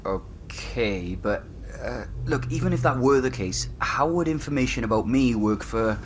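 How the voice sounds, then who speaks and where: A down-to-earth man, played by an actor in a naturalistic audio drama. He is doubtful and wry, feeling his way through objections in a mid-pitched voice. Ambient noise can be heard behind him. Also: animated, moderate pace, close to an everyday voice. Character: skeptical, down-to-earth man, teasing friend.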